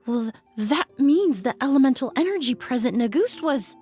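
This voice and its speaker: A woman's voice: high-pitched, animated, normal speed, dark tone, soft background music. An actress playing an astute, principled young woman investigator in a video game, speaking formally and articulately. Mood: startled realisation.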